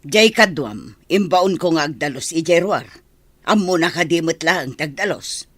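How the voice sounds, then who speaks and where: A woman's voice: low-pitched, animated, normal speed, no room sound, clean studio sound. A woman with an Ilocano accent playing a bossy, gossipy senior kitchen maid in a village comedy radio drama.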